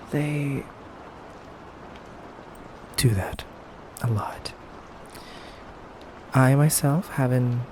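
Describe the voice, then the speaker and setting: A man playing an aloof, condescending male vampire in an ASMR roleplay, measured and halting, often dropping toward a breathy whisper. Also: medium pitch, background noise. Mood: measured, reflective. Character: aloof, condescending, elegant femboy vampire interrogator. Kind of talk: slow, soft ASMR roleplay monologue.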